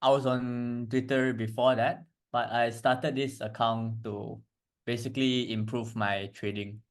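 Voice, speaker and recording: A twenty-two-year-old man from Malaysia chatting casually as a podcast guest, halting and repeating himself. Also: mid-range voice, little pitch movement. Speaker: podcast guest, crypto trader. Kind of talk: casual chat.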